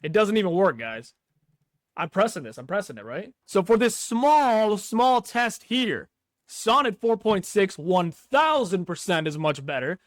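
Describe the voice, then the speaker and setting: A man's voice: high voice, expressive, unhurried, no echo, clean studio sound. A man giving casual, unscripted tech commentary over a screen recording, slangy and reacting aloud as he goes. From Pakistani background. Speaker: tech YouTuber, host.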